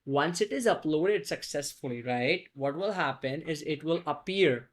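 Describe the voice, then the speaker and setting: Casual man walking through software steps. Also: fairly high pitch, expressive, moderate pace. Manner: loose, repeats "right?" often, restarts phrases. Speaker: software tutorial presenter. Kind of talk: casual screen-recorded tutorial.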